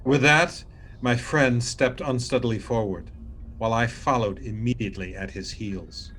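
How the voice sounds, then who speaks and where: An actor playing a scheming, falsely solicitous avenger who narrates his deed in a formal, measured dramatic reading. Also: mid-range voice, fairly bright tone, husky. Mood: grave narration. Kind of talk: theatrical dramatic reading of gothic fiction.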